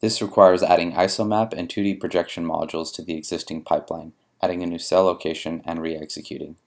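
Male narrator, low register, measured and methodical, for a scripted software demo. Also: fairly even intonation. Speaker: software demonstrator. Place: voice-over for a screen recording.

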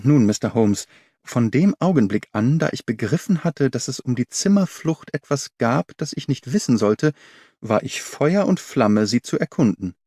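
Audiobook narrator: man as observant young governess telling her mystery, precise delivery, mid-range pitch, dry recording. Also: expressive, moderate pace, mellow, breathy edge, no echo. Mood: uneasy, determined. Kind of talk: first-person audiobook narration.

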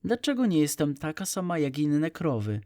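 A man's voice: fairly high pitch, big pitch swings, normal speed, darkish tone, no echo, studio quality. A man voicing a sad, wistful young female cow who speaks in a plaintive, exclaiming way. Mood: wistful complaining.

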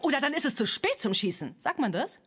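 A woman playing a sensation-hungry talk show host in a satirical radio drama, husky, effusive and probing. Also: high-pitched, big pitch swings, a little fast, a bit bright. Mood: gushing, probing.

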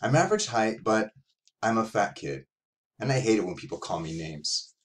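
A middle-aged man reading a verse novel in the words of a lonely, self-conscious schoolboy, in a measured, reflective way. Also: on the low side, sing-song. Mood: reflective, earnest. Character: self-conscious, lonely, imaginative seventh-grade boy narrator. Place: small room at home, indoors.

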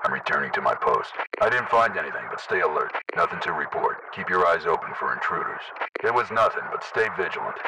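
An actor voicing an alert, hard-edged special-forces soldier for a video game, gravelly and clipped in military battle chatter. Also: male voice, low-pitched, expressive, fast, distant mic, very noisy. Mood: low, wary. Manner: clipped, urgent military barks.

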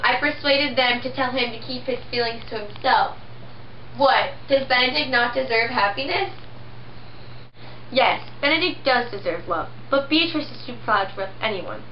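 A young woman quietly reading dialogue for a home puppet show of a classic play. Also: a little high, highs cut off, slight background noise. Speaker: amateur sock-puppet performer. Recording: echoey room with music.

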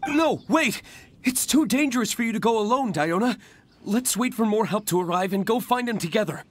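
A man playing an anxious, apologetic young village hunter in a video game, earnest and halting. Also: high voice, lively intonation, a little hiss or hum. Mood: alarmed, earnest. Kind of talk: scripted video game dialogue. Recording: music behind. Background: background game music.

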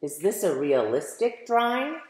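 A middle-aged American woman, a children's author, reads a picture book aloud and speaks directly to young listeners with emphatic, animated delivery. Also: mid-range voice, measured pace. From United States. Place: medium living room at home, indoors. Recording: roomy, echoey home recording.

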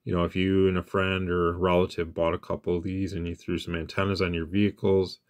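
A man hosting a casual hands-on radio gear demo, talking in a plainspoken, informal way. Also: low voice. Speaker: radio review host. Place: indoors at an equipment bench.

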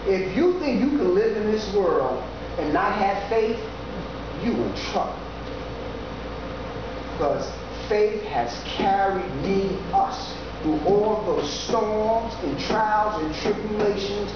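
A preacher giving an informal sermon, talking slowly and conversationally with frequent "yeah" and "you know". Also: medium pitch. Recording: echoey, dull recording with steady background noise.

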